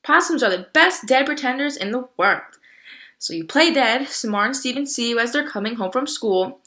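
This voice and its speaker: Woman voicing a bossy, pessimistic bird, bright and blunt. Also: fairly high pitch, lively intonation, moderate pace, pitched above the performer's own voice. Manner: blunt, exasperated.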